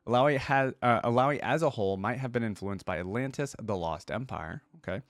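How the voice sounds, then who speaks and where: Low-voiced man reacting casually, dry close sound. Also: dry recording. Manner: conversational, thinking aloud. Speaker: reaction video host. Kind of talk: casual reaction commentary, reading wiki text aloud.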